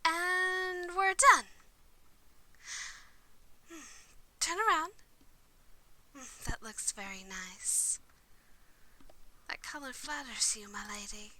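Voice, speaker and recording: A woman playing an excitable, devoted vampire lady's maid in a roleplay audio drama, speaking formally and chattily to the listener. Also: high voice, takes it slowly, pauses often, exaggerated voice. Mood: attentive, helpful. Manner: polite, formal, eager to please, chatty.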